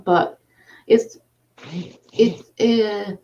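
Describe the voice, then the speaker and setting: Slow, gravelly Danish-accented woman host. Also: mid-range voice, fairly even intonation, dark tone, slight background noise. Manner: halting, many fillers. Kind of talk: casual conversation. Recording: distant, dull lo-fi sound.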